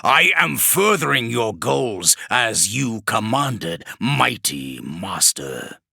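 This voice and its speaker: A man voicing a slow, sneering chained demon. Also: fairly low pitch, very animated, vocal fry, bright, forceful tone, no room sound, clean studio sound, heavily acted. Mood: ironic menacing. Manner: slow, ironic, menacing. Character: sinister mocking male demon in chains.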